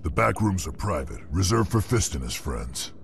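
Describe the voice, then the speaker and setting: Very deep, gravelly club bouncer. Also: male voice, sing-song, normal speed, full character voice. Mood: firm. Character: firm, gruff male nightclub bouncer guarding back rooms. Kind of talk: video game character dialogue. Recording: loud music behind the voice.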